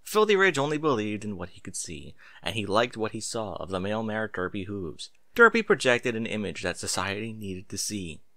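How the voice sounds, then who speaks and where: Expressive male storyteller, lowish and bright. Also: moderate pace, few pauses, dry recording, clean studio sound. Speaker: audiobook-style story reader. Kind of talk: expressive scripted narration of fan fiction.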